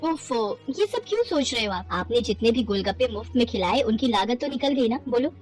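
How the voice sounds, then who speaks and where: Woman playing a high-voiced practical, cheerful wife. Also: lively intonation, fairly quick, soft background music, close to an everyday voice. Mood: chiding, reassuring. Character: cheerful practical wife consoling her husband. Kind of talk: animated Hindi moral story dialogue.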